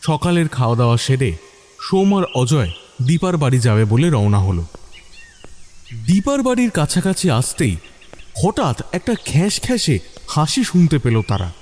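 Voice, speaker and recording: A man's voice: a little high, big pitch swings, moderate pace, long pauses, a little mellow, slight background noise. A man reading a scripted dramatic story as its narrator.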